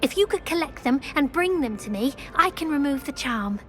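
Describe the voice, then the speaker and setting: A woman's voice: sits high, expressive, moderate pace, fairly bright tone, faint music behind. An actress playing an earnest, bookish British schoolgirl witch in a video game, polite, chatty and fretful. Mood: relieved, grateful.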